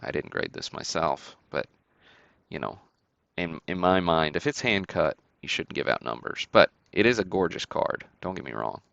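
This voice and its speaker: A man with a deep, smooth voice hosts a card break stream, reading out items and names in an easygoing, casual way. The sound is very dry and close. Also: animated, normal speed, a bit bright, no echo, highs cut off. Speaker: host of a sports card break livestream. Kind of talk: casual livestream commentary, calling out cards and buyers.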